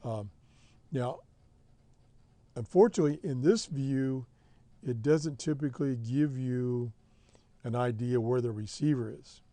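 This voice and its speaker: A man's voice: medium pitch, measured pace, long pauses, mellow, no echo. An American man hosting a hobby show, giving a casual, plain-spoken tutorial step by step over a screen recording. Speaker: host of a shortwave radio hobby show.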